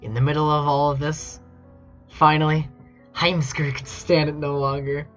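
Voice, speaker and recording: A man streamer reads aloud at an unhurried pace, slipping between casual chat and mock-dramatic storytelling. He sounds amused and incredulous. The mic is dull and lo-fi, with music playing behind him. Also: fairly high pitch, level tone. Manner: amused, incredulous asides while reading. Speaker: streamer reading fan fiction aloud. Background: background music.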